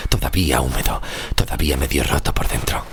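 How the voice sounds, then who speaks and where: A man's voice: a bass voice, fast talker, noisy background. A man playing a weary, stubborn sixteenth-century sailor in an audio horror drama, curt and sardonic in archaic formal speech. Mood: weary, brooding. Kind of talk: audio horror drama with first-person narration.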